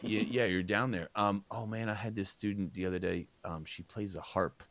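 A man's voice: fairly low pitch, fairly even intonation, brisk, fairly bright tone. A man co-hosting a music podcast, a music teacher chatting in a loose, rambling, jokey way. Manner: rambling, jokey, plays with accents. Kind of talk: casual chat.

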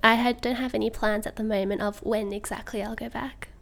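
A woman's voice: fairly high pitch, little pitch movement, brisk, creaky, fairly bright tone. Bubbly young woman who laughs often. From Australia. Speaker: podcast guest. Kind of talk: casual interview chat.

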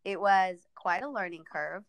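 A young woman from Ethiopia speaks as a podcast guest in a relaxed, thoughtful way, leaning on "um" and "like." Her voice sits low and smooth. The sound is dry and close. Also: normal speed, dry recording. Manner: thoughtful, frequent "um" and "like". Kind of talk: casual podcast conversation.

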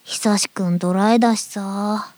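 A woman's voice: mid-range voice, fairly even intonation, measured pace, a little mellow, no echo, lightly acted. A woman playing a gloomy, listless young child with a downcast drawl in an audio drama.

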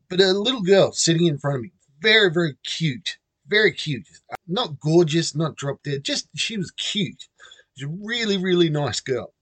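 A man's voice: on the high side, fairly bright tone, no room sound. A middle-aged Australian man casually telling a personal story, chatty and self-amused. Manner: chatty, self-amused, acts out quoted voices.

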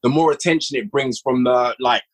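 Husky man chatting casually as a guest. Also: mid-range voice, normal speed. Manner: relaxed, rambling with many fillers. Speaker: guest, audio drama writer and producer. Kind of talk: casual podcast chat.

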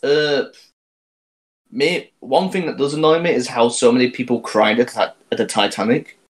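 A man's voice: mid-range voice, fairly even intonation, normal speed. A young man co-hosting a podcast, trading casual banter with friends. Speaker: podcast co-host.

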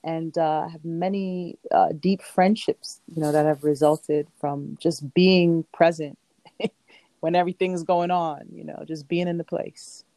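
A woman's voice: sits low, steady tone, unhurried, mellow, no echo, rough recording. A woman poet from Queens, New York, speaking as a guest in a casual interview. She talks reflectively, rambles a little and laughs often. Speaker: poet and performing artist.

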